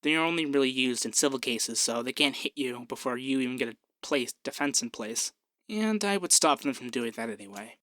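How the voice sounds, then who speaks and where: Man playing a bright-voiced peppy young femboy barrister. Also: mid-range voice, lively intonation, a little fast, dry recording, natural voice. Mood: reassuring, businesslike.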